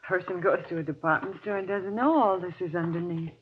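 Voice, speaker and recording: A woman's voice: lowish voice, brisk, slight background noise, natural voice. Tinny-sounding, timid wife played by a woman. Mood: meek, uneasy. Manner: hesitant, pleading, stammering. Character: timid, anxious, placating wife. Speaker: radio drama actor. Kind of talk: golden age radio horror acting. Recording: tinny, narrow old radio recording.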